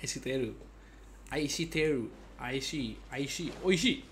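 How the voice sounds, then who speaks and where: Excitable male streamer over noisy game audio. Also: mid-range voice, normal speed. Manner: excitable, repeats himself. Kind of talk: casual live gameplay reaction. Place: indoor room with game audio behind.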